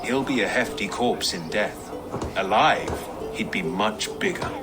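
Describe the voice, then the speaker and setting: A man's voice: lowish voice, lively intonation, a little slow, over loud music, voice pushed down. An actor playing a grim male ally who speaks in a dry, wry way.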